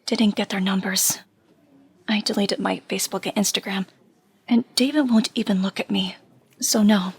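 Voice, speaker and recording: A woman playing a grieving, earnest young superhero in an audio drama, with a breathy voice. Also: mid-range voice, fairly even intonation, moderate pace. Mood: subdued.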